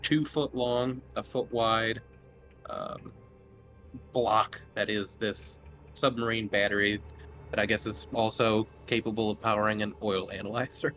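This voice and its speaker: A man's voice: on the low side, fairly even intonation, a little slow, fairly bright tone, soft background music. A man running a tabletop horror game as game master, casually improvising scene descriptions in a conversational way.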